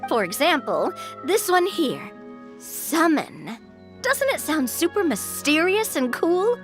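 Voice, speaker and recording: A woman's voice: high voice, big pitch swings, slow, faint music behind, heavily acted. A woman voicing a breezy, confident, playful young woman with a mischievous streak in a game. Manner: casual, playful. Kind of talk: game voice acting.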